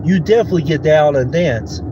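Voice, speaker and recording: A man's voice: on the high side, fairly quick, lo-fi sound, background noise. A man in his thirties from the United States, hosting a casual solo podcast and rambling through his points with many fillers and restarts. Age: 30s. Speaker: podcast host.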